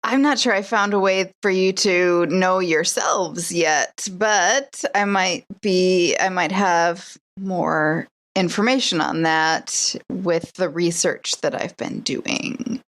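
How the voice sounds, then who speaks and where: Slow-paced woman in a roleplay game. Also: fairly low pitch, fairly bright tone. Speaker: player in an actual-play podcast. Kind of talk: in-character tabletop roleplay.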